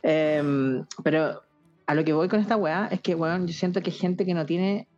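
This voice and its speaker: A woman's voice: sits low, moderate pace, darkish tone, dull audio, faint music behind. A woman with a Chilean accent co-hosting a podcast in blunt, crude, sardonic casual chat. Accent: Chilean Spanish. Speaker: podcast co-host.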